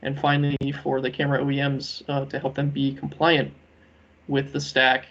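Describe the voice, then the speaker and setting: Male team lead presenting on a lo-fi conference call; creaky, monotone, halting business delivery. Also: medium pitch. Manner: measured, hesitant, halting delivery with many ums. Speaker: working group lead presenting a proposal. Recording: dull, lo-fi conference call audio.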